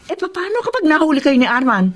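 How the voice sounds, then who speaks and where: A woman playing a worried, nosy friend in a radio drama, admonishing and expressive. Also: high-pitched, fast, slight background noise. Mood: scolding, worried.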